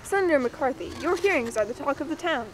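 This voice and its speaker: Young female presenter, high voice, scripted mock-documentary read, slight noise behind. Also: animated, fast. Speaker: presenter and interviewer.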